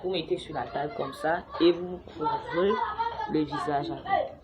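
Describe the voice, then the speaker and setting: A young woman from Cameroon talks to her viewers in a chatty, halting way, full of fillers and restarts. Her voice sits quite low. The home recording carries clear background noise, with children heard playing nearby. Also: sing-song, a loud crowd. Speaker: vlogger sharing a home remedy. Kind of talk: casual how-to talk to camera. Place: home, with noisy neighbours and children playing outside.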